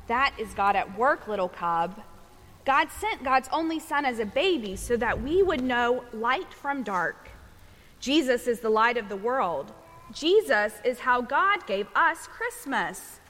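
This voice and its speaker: A woman voicing a patient, reassuring mother bear from a storybook, answering calmly in an echoey hall. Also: a little high, unhurried. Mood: reverent. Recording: echoey hall, long reverb.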